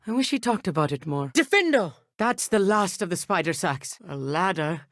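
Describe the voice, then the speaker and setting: An actor playing an earnest, bookish teenage schoolboy wizard in a video game, polite and a little nervous, with precise, slightly formal speech. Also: male voice, sits high, very animated, moderate pace, a bit bright, no echo, studio quality, full character voice. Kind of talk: scripted video game dialogue.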